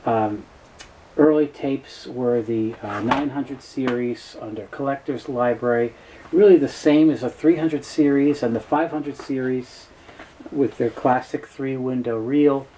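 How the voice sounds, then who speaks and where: Male presenter, mellow tone, halting and factual unscripted explainer, slight background noise. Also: medium pitch, low-quality recording. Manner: halting, matter-of-fact, knowledgeable. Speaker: tape dealer presenting a product overview.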